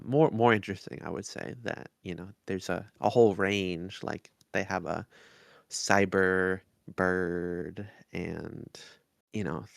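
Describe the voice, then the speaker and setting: A man co-hosting a podcast, speaking in a halting, casual way in short phrases. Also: sits low, vocal fry, fairly bright tone, no echo, studio quality. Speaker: podcast co-host. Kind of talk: casual review chat.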